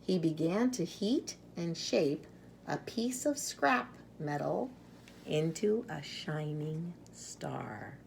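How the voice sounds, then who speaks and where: A woman reads a children's storybook aloud at a very slow pace, in a slightly low voice with a creaky edge. She is expressive, leaning on key words and pausing for young listeners. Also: slight background noise. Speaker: storybook reader.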